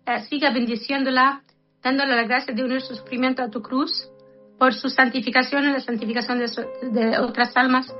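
A high-voiced woman in her fifties speaks earnestly to her listeners, mixing a reflection on life with a heartfelt prayer. The sound is dull and lo-fi, like a radio broadcast. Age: 50s. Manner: earnest, addresses listeners as brothers and sisters.

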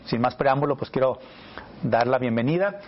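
The audio is slightly dull and band-limited. A man speaks as a talk show host, conversationally guiding an interview at a medium pitch. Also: lively intonation, normal speed.

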